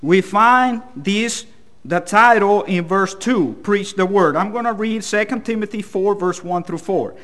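A male preacher delivers a sermon in English with a Salvadoran Spanish accent. His voice sits a little high for a man. He speaks plainly and a bit hesitantly, with a self-deprecating way about him. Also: a little slow, fairly bright tone.

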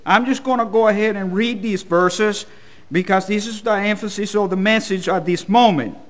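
A man's voice: sits high, normal speed. A man from El Salvador with a Salvadoran Spanish accent, preaching a sermon in a plain-spoken, somewhat hesitant and self-deprecating manner.

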